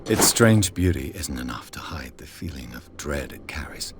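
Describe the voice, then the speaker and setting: Casting: terse, jaded private eye, extremely low register, video game drama, faint noise behind. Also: male voice, lively intonation, normal speed. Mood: pensive, skeptical. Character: jaded male private detective, curt and world-weary.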